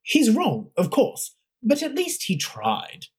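A woman's voice: a little high, wildly swooping pitch, slow pace, a little mellow, husky, voice pushed up, heavily acted. A narrator voicing a stern, commanding woman who heads an imperial academy, speaking with cutting scorn. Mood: scornful.

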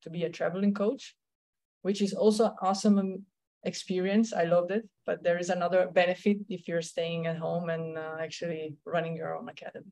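Low-voiced woman with a Slovenian accent. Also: little pitch movement, a little fast, dark tone. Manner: rambling, many restarts and fillers. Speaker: tennis coach, guest. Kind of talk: conversational interview answers.

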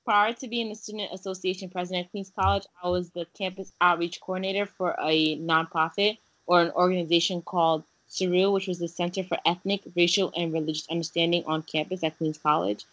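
A woman's voice: fairly low pitch, fairly even intonation, a little fast, few pauses, fairly bright tone, rough recording. A young woman from Haiti, a guest in a casual interview, talking earnestly with many fillers and restarts. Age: young adult.

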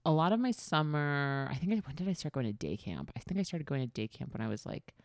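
A woman's voice: deep voice, big pitch swings, quick pace, dry recording. A woman from Queens, New York, co-hosting a casual chat podcast and tossing in dry joking asides. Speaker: podcast co-host.

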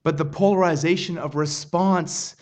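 A man preaching a sermon in an earnest, measured way, often restarting his phrases. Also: a little high, fairly even intonation. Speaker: pastor.

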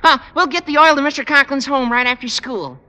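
An actor playing an eager, cheeky teenage schoolboy with a squeaky voice in a radio sitcom. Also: male voice, brisk, bright, forceful tone, exaggerated voice. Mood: eager, helpful. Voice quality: squeaky, adolescent-sounding. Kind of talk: 1950s radio sitcom acting. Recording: old radio recording, long reverb.

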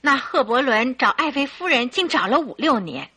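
A sympathetic, curious housekeeper in an old-fashioned radio drama. Her voice is highish and husky-edged, swooping expressively with exclamations as she follows a case. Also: big pitch swings, moderate pace, pushed and bright, exaggerated voice. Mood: concerned.

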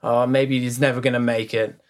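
A man's voice: medium pitch, little pitch movement, fairly quick. A man co-hosting a casual podcast, speaking earnestly about mindset and putting questions to his co-host. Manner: earnest, motivational, asks the other host questions.

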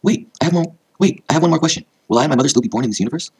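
A man's voice: medium pitch, racing, a little mellow, breathy edge, no room sound, pitched above the performer's own voice, lightly acted. A man voicing an earnest, determined teenage magical warrior girl in an anime fan story, with exclamatory delivery. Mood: anxious, curious.

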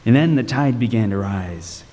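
Man acting a mellow-voiced, haunted lighthouse keeper. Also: fairly low pitch, lively intonation, moderate pace. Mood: morbid fascination. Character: haunted male lighthouse keeper telling a horror tale. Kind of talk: dramatic first-person radio narration. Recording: long reverb, music underneath.

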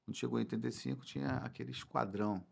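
A man's voice: medium pitch, fairly even intonation, racing, vocal fry, a little mellow. A Brazilian man of sixty-one with a Rio de Janeiro accent, reminiscing informally as a podcast guest and repeating words for emphasis. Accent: Brazilian Portuguese, Rio de Janeiro. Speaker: football club president, interview guest. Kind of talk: casual podcast conversation, reminiscing.

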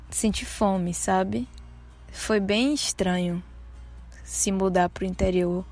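A woman in her twenties with a Bahian Brazilian accent, casually telling personal stories as a podcast host. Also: mid-range voice. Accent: Bahian Brazilian Portuguese. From Salvador, Bahia, Brazil. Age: 20s. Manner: casual, conversational, self-deprecating.

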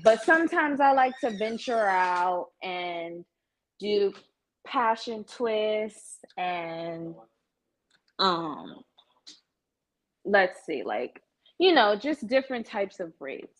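A woman's voice: mid-range voice, big pitch swings, slow pace, pauses often. Frank, sassy American woman chatting casually. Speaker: salon client, guest. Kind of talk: casual chat.

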